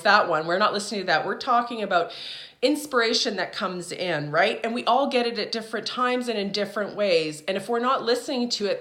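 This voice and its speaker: A woman's voice: on the high side, fairly bright tone. A woman teaching her viewers in a casual, chatty way, often checking in with "okay?" and "right?" as she talks. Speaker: spiritual teacher and channeler. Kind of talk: casual instructional talk to viewers.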